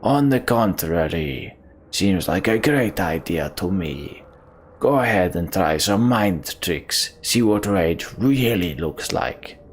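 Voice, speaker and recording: Man putting on a slow, growling, menacing warlord. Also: low voice, lively intonation, slight background noise. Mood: menacing, taunting. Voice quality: growling, gravelly. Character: fearsome growling male warlord, menacing and taunting. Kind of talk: dramatic reading of fantasy game dialogue.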